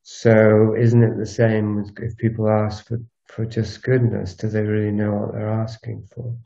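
A man recording a solo spoken audio log on a walk, musing in a halting, unscripted way and circling back over his phrases. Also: on the low side, completely flat delivery, vocal fry, warm tone. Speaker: podcast host. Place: outdoors, recorded while walking. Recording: dull lo-fi outdoor recording.

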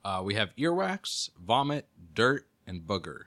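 A man's voice: on the low side, sing-song, a little slow, a bit bright. A man acting as a podcast producer, casually reading out quiz questions and chatting with dry, wry remarks.

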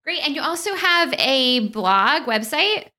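A woman's voice: high-pitched, sing-song, measured pace, bright, forceful tone. A woman hosting a parenting podcast, reading a scripted introduction in a friendly, conversational way and then interviewing a guest. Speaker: podcast host.

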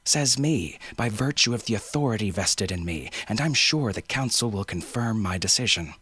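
A man's voice: lowish voice, animated, moderate pace, husky, voice pushed up, exaggerated voice. A man voicing a tense, commanding male expedition leader. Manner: sharp, commanding.

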